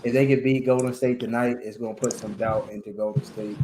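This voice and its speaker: A man hosting a casual chat about sports and faith, talking in halting bursts with many fillers and restarts. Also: mid-range voice, fairly even intonation, background noise. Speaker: podcast host.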